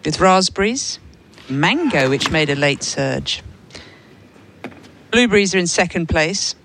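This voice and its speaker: A woman's voice: fairly low pitch, animated, a little slow, a bit bright, a little hiss or hum. A woman co-hosting a sports show, reading out listeners' messages with casual, playful banter. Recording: music playing behind, outdoor venue. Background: DJ music at outdoor venue.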